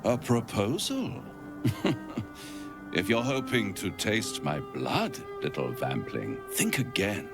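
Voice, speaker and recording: An actor playing a suave, scheming devil in a video game, with grandiloquent, condescending and menacing delivery. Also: male voice, fairly low pitch, wildly swooping pitch, slow pace, over music, exaggerated voice. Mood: mocking. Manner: grandiloquent, rhyming, smoothly condescending. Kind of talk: theatrical video game acting.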